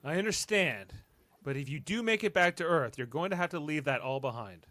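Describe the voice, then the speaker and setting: A man playing an earnest, resolute starship captain in amateur radio theatre, speaking in a measured way. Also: a little high, expressive, sharp tone, dry recording.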